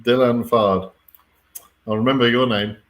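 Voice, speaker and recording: A man's voice: mid-range voice, fairly even intonation, measured pace, a bit bright. A man with a British accent hosting an informal live question-and-answer stream, speaking in a blunt, wry, mildly exasperated way with the odd swear word. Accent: British English. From Britain.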